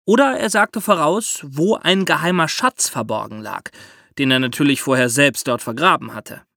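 A man's voice: on the high side, normal speed, gravelly, sharp tone, no room sound, clean studio sound. A man co-hosting a history podcast, explaining the story with chatty asides. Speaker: podcast host.

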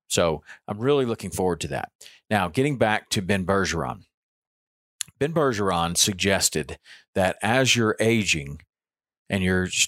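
Low-voiced man in his fifties. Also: a bit bright, no echo, clean studio sound. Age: 50s. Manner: conversational, with frequent ums and restarts. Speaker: podcast host. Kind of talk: casual solo podcast monologue.

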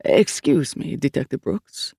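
An older man of the cloth as an audiobook narrator voices him, calm and courteous. He explains things in a measured, creaky voice. The sound is close and dry. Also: medium pitch, dry recording, exaggerated voice.

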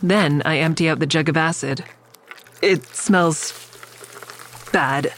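An actress playing an observant, wry, secretive woman who narrates her own story in an audio drama, measured and descriptive. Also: low-pitched, slight background noise. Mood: wry, uneasy. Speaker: narrator and lead character.

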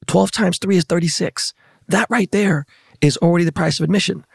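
A hoarse man hosting a podcast in casual chat, talking in slangy, profane, hyped-up street style. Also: high voice, a little fast, no room sound, clean studio sound. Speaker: podcast host.

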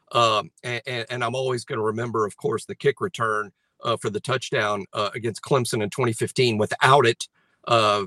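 A male sports analyst explaining his rankings in a measured, analytical way. His voice is bright and the recording is dry and close. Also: medium pitch, no echo. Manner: measured, analytical, with frequent restarts. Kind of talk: casual sports talk.

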